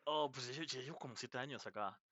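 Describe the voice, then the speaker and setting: A man's voice: mid-range voice, fairly quick, a bit bright. A young man from Mexico, a podcast host and indie game developer, chatting casually and laughing often. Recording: dull lo-fi mic, dry.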